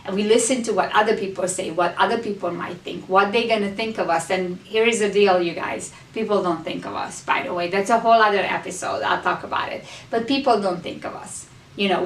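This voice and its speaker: A woman's voice: mid-range voice, far from the mic. A woman hosting a motivational talk, speaking earnestly to her viewers and repeating key phrases for emphasis as she coaches them. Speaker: host. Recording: echoey room, voice off-mic.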